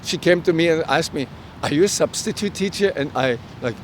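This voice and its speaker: Higher-voiced middle-aged German-accented man. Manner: halting, earnest delivery with self-quoted dialogue. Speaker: interview guest. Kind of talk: personal storytelling in a conversational interview. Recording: outdoor street recording with traffic rumble.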